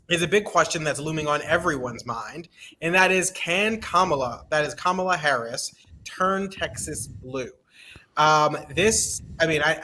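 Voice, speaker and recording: A man's voice: fairly high pitch, sharp tone, a little hiss or hum. A man hosting a casual political podcast, opening with rambling, teasing banter. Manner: joking, rambling intros with teasing. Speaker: podcast host.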